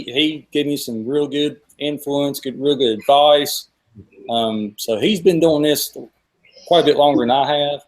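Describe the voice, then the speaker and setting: A man's voice: medium pitch, rough recording. A man in his forties with a Southern US accent, hosting a live stream in a casual, rambling way that circles back over his words. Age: 40s. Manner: rambling, repeats himself. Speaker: host of a fishing live stream.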